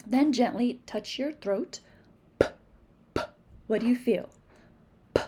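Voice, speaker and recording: A patient, encouraging teacher with a New England accent and a Spanish-speaking background walks learners through speech sounds one at a time. Her voice is mellow and a little breathy. She slows down to model each sound clearly. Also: on the high side. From Massachusetts. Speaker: reading teacher. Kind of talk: instructional video lesson.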